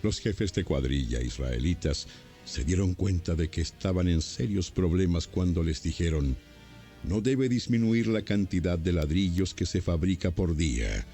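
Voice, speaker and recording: A man's voice: deep voice, lively intonation, normal speed, mellow, faint music behind. A man narrating scripture as scripted, dramatized storytelling.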